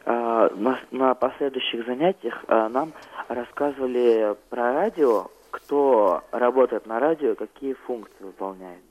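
A teenage male guest answering interview questions in a mid-pitched voice. He speaks hesitantly, searching for words as he recounts things. The audio is narrow and phone-like. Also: measured pace. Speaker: student guest on a radio programme.

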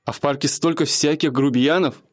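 A man's voice: on the high side, steady tone, brisk, lightly acted. An actor playing an earnest, humble young man who works as a restaurant cashier, speaking eagerly and politely in a radio play.

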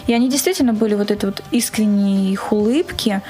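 A woman's voice: medium pitch, animated, quick pace, mellow. A woman speaking as a guest in a conversational radio interview, earnest and enthusiastic in the way she talks. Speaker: guest, organizer of a screening.

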